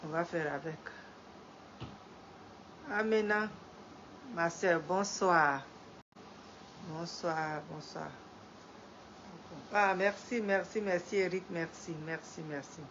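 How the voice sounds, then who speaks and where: A woman's voice: on the low side, slow, long pauses, a bit bright, a little hiss or hum. A middle-aged woman with a head cold, hosting a live stream, greeting viewers and lecturing with lots of repetition. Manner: repeats phrases, rising emphatic when preaching. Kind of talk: slow moralizing live-stream talk. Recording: dull lo-fi stream audio with faint ambience.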